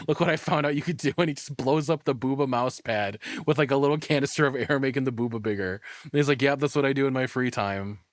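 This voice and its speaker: Man, casual streamer commentary, medium pitch, dry sound, rambling, opinionated, sweary. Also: dry recording. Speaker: streamer reacting to a video.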